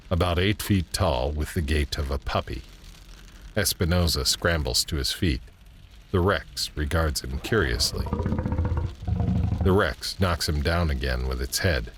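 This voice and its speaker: Very deep-voiced male narrator. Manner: measured, descriptive. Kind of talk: scripted audio drama narration. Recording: background ambience and noise.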